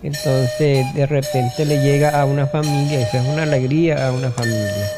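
A man giving interview testimony, speaking in a halting way with fillers and restarts. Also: mid-range voice, steady tone. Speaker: community interviewee. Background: loud music.

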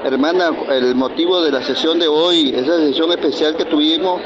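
A man's voice: a little high, moderate pace. A man reporting from the field for radio, asking brief questions in a plain reporting manner. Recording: muffled outdoor audio with crowd.

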